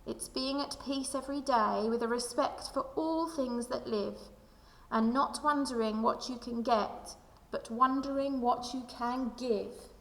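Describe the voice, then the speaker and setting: Echoey recording, woman, creaky voice, primary teacher, storybook read-aloud for children. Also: a little high, unhurried. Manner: addresses young listeners directly. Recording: echoey room with long reverb.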